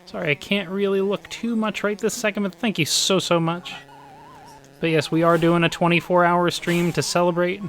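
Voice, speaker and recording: A man of forty, a bit hoarse, chatting upbeat with viewers while he plays a live speedrun from home. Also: high voice, level tone, normal speed, a bit bright, soft background music. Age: 40s. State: slightly hoarse. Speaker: speedrun streamer. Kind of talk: live-stream gameplay commentary.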